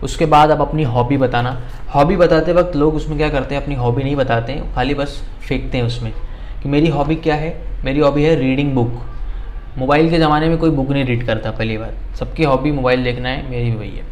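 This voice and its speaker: A man teaching spoken English in a casual lesson, dropping "okay" often and slowing right down to say English example phrases. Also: medium pitch, steady tone, darkish tone, slight background noise. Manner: conversational, repeats "okay" and slows down to spell out English phrases.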